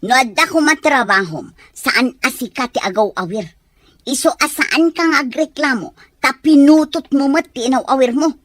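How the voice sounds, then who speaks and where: A woman with an Ilocano accent playing an exasperated, sharp-tongued working wife who scolds and complains in a radio drama. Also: sits high, moderate pace, dry recording, clean studio sound. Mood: irritated.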